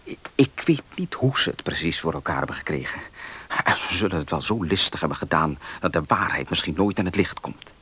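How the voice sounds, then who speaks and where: A determined, suspicious fiancé in a radio drama. His voice is deep and extremely breathy, and it swings widely as he earnestly insists. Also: lively intonation, brisk, a bit bright, heavily acted. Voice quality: husky, breathy. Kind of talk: Dutch radio play acting.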